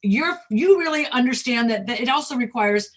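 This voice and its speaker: A woman's voice: on the high side, lively intonation, moderate pace. A woman hosting a casual, conversational interview. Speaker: interviewer, host.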